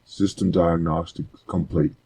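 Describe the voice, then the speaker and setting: A man voicing a cold, emotionless spaceship computer in flat, deliberate announcements, through a pitched-down robot effect with echo. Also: vocal fry. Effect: pitched-down robot effect with echo or reverb.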